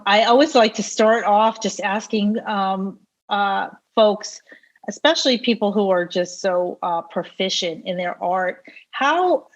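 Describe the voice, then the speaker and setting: A woman hosts a podcast, asking loose, rambling questions full of fillers. Her audio sounds slightly muffled and lo-fi, at a middle pitch. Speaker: podcast host. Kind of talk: podcast interview.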